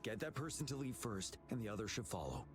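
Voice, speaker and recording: A young general in a video game, low in pitch and grave. He speaks formally and with measured authority, dutiful and composed, over clear background music. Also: very animated.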